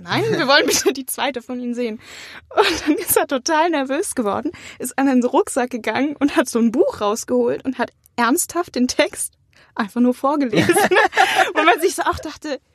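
A woman's voice: high-pitched, lively intonation, moderate pace, no echo, studio quality. A young woman telling anecdotes candidly in a casual chat as an interview guest. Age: young adult. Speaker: interview guest, acting student.

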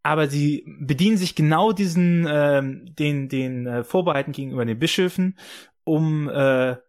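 A young man co-hosting a casual podcast debate, animated and sarcastic, with many restarts and fillers. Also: a little high, moderate pace. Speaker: podcast co-host.

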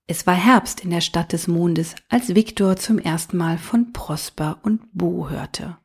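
Audiobook narrator: woman, low register, slightly breathy, calm and even storytelling. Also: measured pace.

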